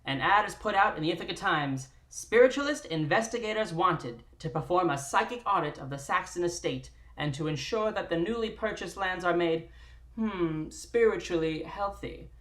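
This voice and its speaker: A woman's voice: on the low side, expressive, moderate pace, fairly bright tone. A woman hosting a live-streamed table read, introducing it and narrating from a script.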